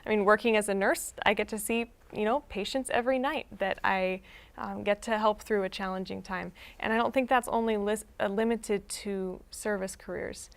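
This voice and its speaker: Young nurse with a gravelly edge. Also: female voice, medium pitch, fairly even intonation, normal speed. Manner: thoughtful, friendly, with some hesitations. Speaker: guest, emergency room nurse. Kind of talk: faith interview answers.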